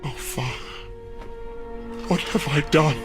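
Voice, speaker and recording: An actor playing a proud, troubled young warrior prince in a video game, earnest and intense. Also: male voice, on the high side, slow. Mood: shaken. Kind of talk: video game hero acting. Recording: music under the voice.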